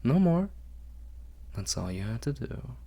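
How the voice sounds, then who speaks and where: A man playing a refined, doting, fastidious vampire lord in an intimate ASMR roleplay, speaking formally and coaxingly in a gravelly, often whispery voice. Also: mid-range voice, sing-song. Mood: coaxing, indulgent. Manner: formal, measured, softly coaxing.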